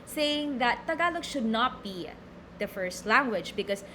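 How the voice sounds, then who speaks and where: Young woman, Filipino accent, teacher, reads slides then explains, halting, repeats words, faint hiss behind. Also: on the high side, animated, sharp tone. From Philippines. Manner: hesitant, many repeated words and fillers. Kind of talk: recorded online lecture reading slides.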